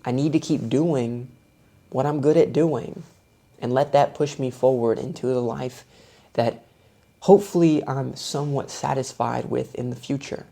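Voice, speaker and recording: A man's voice: mid-range voice, unhurried, vocal fry, mellow. A young man vlogging with a soothing voice, talking through his thoughts in a thoughtful, self-searching way. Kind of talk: reflective personal monologue.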